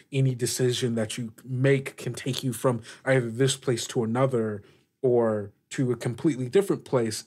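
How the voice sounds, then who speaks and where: A man works as game master, improvising narration for a tabletop game. His mid-pitched voice moves haltingly, with many fillers as he searches for the next phrase.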